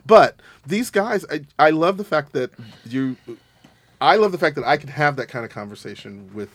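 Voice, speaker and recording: A man's voice: fairly high pitch, expressive, normal speed, fairly bright tone, no echo. A man hosting a casual studio chat, flamboyant and exclamatory, with theatrical asides. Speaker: host.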